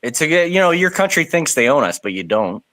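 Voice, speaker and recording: A man's voice: fairly high pitch, sing-song, fairly quick, fairly bright tone, no room sound. A man, ranting animatedly and swearing in a casual group voice chat. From Canada. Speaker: voice chat participant.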